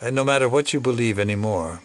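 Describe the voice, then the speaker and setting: An actor playing a cynical, world-weary older conspirator, measured, fatalistic and wry, in an audio drama. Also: male voice, medium pitch, expressive, lightly acted. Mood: resigned.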